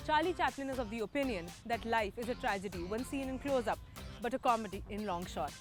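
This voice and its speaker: A woman hosting an interview show, asking questions in polished, measured, formal phrasing. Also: a little high, over music.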